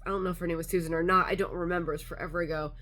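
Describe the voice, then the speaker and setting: A woman's voice: on the low side, fairly even intonation, racing, a bit bright. A woman vlogger chatting casually to camera, congested and a little nasal, rambling with lots of fillers.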